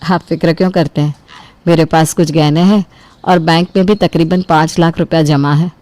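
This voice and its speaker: Woman playing low-voiced, reassuring wife. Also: fairly even intonation, moderate pace, mellow, a little hiss or hum, lightly acted. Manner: reassuring, resolute. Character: supportive, practical wife of the eldest brother. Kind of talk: acted dialogue in a Hindi cartoon family story.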